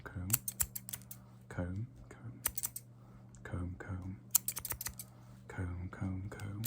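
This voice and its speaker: A man playing a casual, fussy, slightly snobbish vampire barber in an ASMR roleplay, murmuring softly and drifting into whispers. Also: low voice, background noise, heavily acted. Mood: soothing, whispered. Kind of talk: ASMR roleplay, soft close personal attention.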